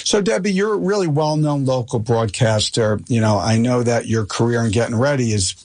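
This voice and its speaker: A man's voice: medium pitch, brisk, darkish tone. An American man hosting a talk show, interviewing in a casual, effusive, complimentary way.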